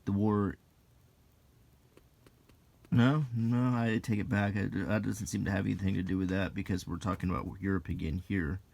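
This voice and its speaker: A man giving unscripted, ASMR-style commentary as he browses, in a musing, halting way with pauses. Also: sits low, fairly even intonation, vocal fry, a little mellow. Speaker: video host reviewing an old magazine.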